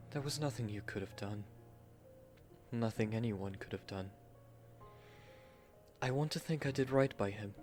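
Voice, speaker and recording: A man playing an earnest, tender gentleman newlywed in a romantic audio drama, soft-spoken and hesitant, with a mournful sincerity. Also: fairly low pitch.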